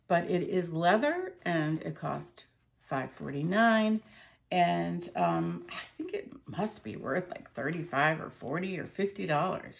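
A woman's voice: low-pitched, vocal fry. A middle-aged woman casually showing off thrift store finds, chatty and hesitant with plenty of fillers. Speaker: antique reseller showing a thrift haul. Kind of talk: casual show-and-tell vlog.

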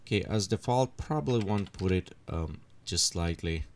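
A man with leading a software tutorial at his computer, thinking aloud as he adjusts settings. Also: deep voice, animated. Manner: unhurried, thinks aloud while clicking through settings. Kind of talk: screen-recorded software tutorial.

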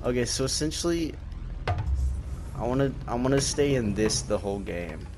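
A man's voice: medium pitch, expressive, normal speed. A young man doing casual, joking video game commentary as a streamer, informal and prone to repeating himself. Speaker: gaming streamer. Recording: loud music and game sounds behind. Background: loud music, vehicle game noise.

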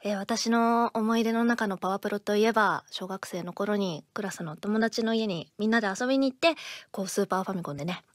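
A woman's voice: medium pitch, a little fast, hardly any pauses, no echo, studio quality. A woman who works as a voice actor giving a promotional comment to game players.

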